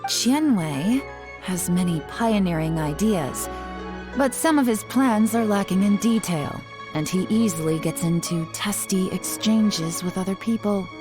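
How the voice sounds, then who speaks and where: A woman's voice: medium pitch, lively intonation, a little slow, over music, natural voice. A woman playing a cunning, composed intelligence chief in a video game, speaking with poised assurance. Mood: composed, official.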